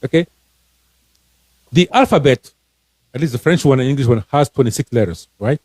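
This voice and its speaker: A man's voice: medium pitch, lively intonation, moderate pace, darkish tone, dry recording, clean studio sound. A man from Cameroon, a financial coach and author, giving casual advice on a live stream, earnest and encouraging, often restarting his words.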